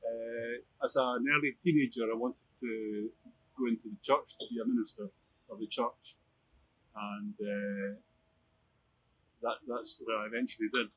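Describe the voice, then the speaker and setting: A middle-aged or older man with a husky voice, answering informally in a street interview. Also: medium pitch, steady tone, a little slow, long pauses, a bit bright, poor audio. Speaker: interviewee, church minister. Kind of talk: casual street interview chat.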